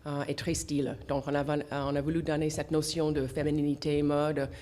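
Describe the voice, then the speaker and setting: Radio guest: middle-aged woman, English accent, very low voice, hesitant, lots of fillers. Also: level tone, dark tone. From English-speaking country. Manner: halting, many fillers and restarts. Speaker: association founder, guest. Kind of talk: radio interview. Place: radio studio.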